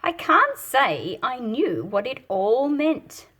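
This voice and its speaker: Expressive, unhurried woman reading a picture book. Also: sits high, husky. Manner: expressive, explanatory, deliberate phrasing. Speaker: picture book read-aloud host. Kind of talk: storybook read-aloud with teaching commentary.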